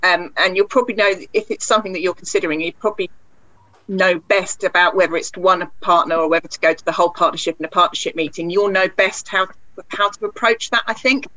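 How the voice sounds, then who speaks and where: Female practice manager panelist; bright, forceful tone, thoughtful, muffled audio. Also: on the low side, fairly even intonation, quick pace, no room sound. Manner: thoughtful, self-correcting. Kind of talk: panel discussion.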